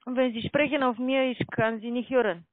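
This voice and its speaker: Halting woman on a tinny phone line. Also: a little high, fairly even intonation, no room sound. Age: 30s. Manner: halting, struggles with the language. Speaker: scam call-center agent.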